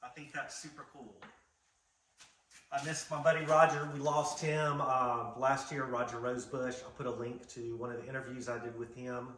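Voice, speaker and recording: A man's voice: medium pitch, little pitch movement, moderate pace, a bit bright, poor audio. A man hosting a casual show-and-tell vlog, talking in a folksy, rambling, enthusiastic way. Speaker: vlog host, vintage garage restorer.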